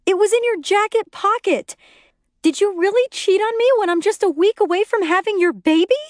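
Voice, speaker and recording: A woman's voice: high voice, very animated, normal speed, a little mellow, no room sound, clean studio sound, voice pushed up. A woman voicing a hysterical, furious pregnant young wife who shrieks accusations. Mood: screaming furious.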